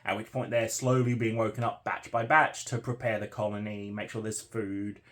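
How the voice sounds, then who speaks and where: A man's voice: fairly low pitch, flat delivery, moderate pace, fairly bright tone. A man hosting a book read-along vlog, talking conversationally to viewers as he recaps and muses. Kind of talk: casual book discussion to viewers.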